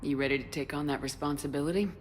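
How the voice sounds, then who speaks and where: A woman playing a composed, resolute military commander in a video game, direct, steady and supportive. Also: deep voice, fast, slight background noise, natural voice. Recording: reverberant hall, music underneath.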